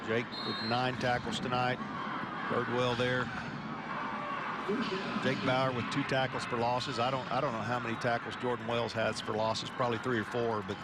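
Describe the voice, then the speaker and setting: A man works as a football color analyst, speaking at a middling pitch. His delivery is conversational and folksy as he breaks down the play. Heavy stadium noise runs loudly behind his voice. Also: flat delivery, moderate pace. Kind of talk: live sports analysis commentary. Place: football stadium broadcast position. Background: loud stadium ambience.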